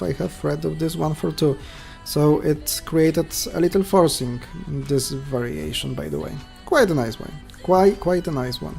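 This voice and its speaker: A man's voice: a little high, gravelly, warm tone. Polish-accented man commenting his live games. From Poland. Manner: thinks aloud, chatty asides to viewers. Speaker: checkers streamer.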